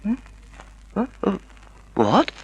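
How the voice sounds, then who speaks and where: A man's voice: high-pitched, huge pitch swings, takes it slowly, a little mellow, husky, dry recording, lo-fi sound, exaggerated voice. A man acting in a radio drama as a timid, bewildered husband, hesitant and stammering. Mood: anxious, confused. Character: timid, bewildered, half-blind betrayed husband.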